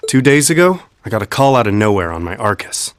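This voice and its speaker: An actor playing a gruff but good-hearted young rescuer in an anime game dub, blunt and casual. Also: male voice, on the low side, big pitch swings, fairly quick, no room sound, studio quality. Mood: offhand, puzzled.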